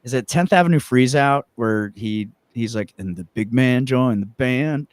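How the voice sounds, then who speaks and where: A man's voice: medium pitch, unhurried, dry recording. A man chatting casually as a musician guest about his songwriting, in a loose, rambling way. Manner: rambling, loosely hedging with "like" and "kind of". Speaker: musician guest, band frontman.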